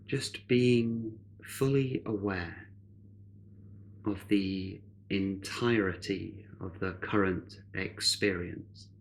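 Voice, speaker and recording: Slow, low-voiced man guiding meditation. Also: fairly even intonation, slight background noise. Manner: measured, unhurried, with long pauses. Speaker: meditation guide. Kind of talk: guided meditation.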